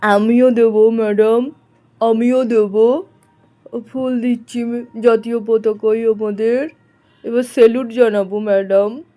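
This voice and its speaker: An earnest young pupil voiced by a woman in a doll story. The childlike voice is fairly high but mellow, and unhurried. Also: fairly even intonation. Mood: eager. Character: eager, forgetful young pupil doll reciting for teacher.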